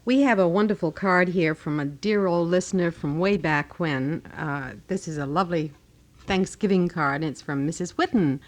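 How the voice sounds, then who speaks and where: Low-pitched woman co-hosting local radio. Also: moderate pace. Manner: polite, appreciative. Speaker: radio show co-host. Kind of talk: reading and answering listener letters, casual radio talk. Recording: 1980 local AM radio broadcast.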